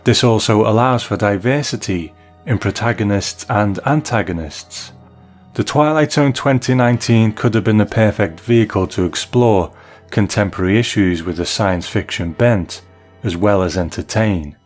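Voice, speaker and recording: Male podcast host, lowish voice, deliberate phrase-by-phrase reading of mail, soft music underneath. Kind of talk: reading listener mail aloud.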